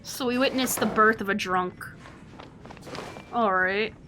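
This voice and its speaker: A woman's voice: mid-range voice, a little slow, sharp tone, a little hiss or hum. A woman live-streaming a game with casual, slangy, reactive commentary and offhand swearing.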